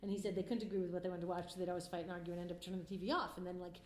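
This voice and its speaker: A woman's voice: on the low side, little pitch movement, rapid-fire. A middle-aged woman, a filmmaker, answering interview questions in an earnest, passionate, conversational way. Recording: roomy echo, faint background noise.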